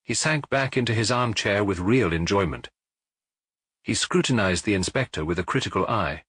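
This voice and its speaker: A man giving an expressive scripted narration of a detective story as an audiobook narrator. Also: lowish voice, normal speed, slightly rough, no room sound.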